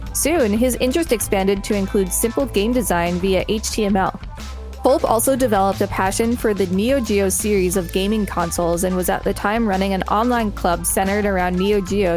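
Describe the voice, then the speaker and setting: A woman's voice: mid-range voice, a little mellow. A woman co-hosting a podcast, reading an encyclopedia article aloud. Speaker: podcast co-host and reader.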